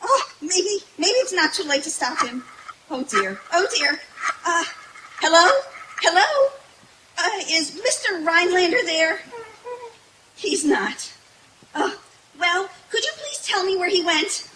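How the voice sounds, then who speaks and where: Woman acting sardonic roommate, high lively voice, dry and theatrically exasperated, old radio comedy, music behind. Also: slow pace, pauses often, a little hiss or hum, heavily acted, poor audio. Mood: dismayed. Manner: dry, wry, theatrically dismayed. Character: sardonic, exasperated roommate, working girl and narrator. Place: outdoor woodland stage.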